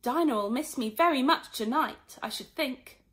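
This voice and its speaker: A woman playing a high-voiced, curious little girl. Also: normal speed, fairly bright tone. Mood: wistful, musing. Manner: wondering, musing, talking to herself. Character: curious, chatty young girl musing to herself.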